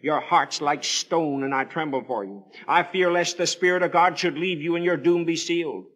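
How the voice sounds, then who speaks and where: A man's voice: fairly high pitch, moderate pace, fairly bright tone. A man preaching on the radio in a solemn, pleading, theatrical manner, telling Bible stories with formal, old-fashioned scriptural phrasing. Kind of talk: dramatic evangelistic sermon storytelling.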